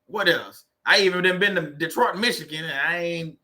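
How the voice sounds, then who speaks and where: A man with a Louisiana drawl hosts a relaxed livestream, loose and teasing as he greets viewers one by one. His voice is bright and forward, sitting a little higher than most men's. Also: moderate pace. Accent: Louisiana Southern US. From Louisiana, USA. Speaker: livestream host. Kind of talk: casual livestream chat with viewers.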